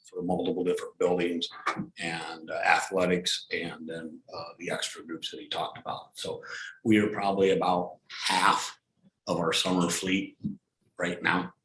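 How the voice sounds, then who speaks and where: Very deep, flat-voiced man, echoey room. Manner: halting, plainspoken. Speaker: school district transportation staffer. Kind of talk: informal spoken explanation at a board meeting. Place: indoor school board meeting room. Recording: echoey meeting room with background noise.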